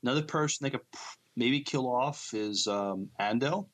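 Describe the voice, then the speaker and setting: A man co-hosting a podcast, chatting and reading news casually, with a halting delivery that trips on word starts. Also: mid-range voice, fairly bright tone. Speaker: podcast co-host.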